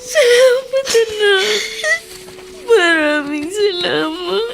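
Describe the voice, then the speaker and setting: A woman's voice: very high-pitched, extremely slow, vocal fry, fairly bright tone, heavily acted. An actress playing a remorseful, tender mother pleading with her grown daughter, in emotional Filipino radio drama with a Filipino accent. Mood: tearful, grateful. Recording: dramatic music under the voice.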